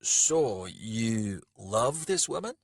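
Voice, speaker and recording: A man's voice: fairly low pitch, sing-song, extremely slow, creaky, no echo, clean studio sound, full character voice. A man voicing a big, fierce male brawler in a story, blunt, sweary and goading. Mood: probing, teasing.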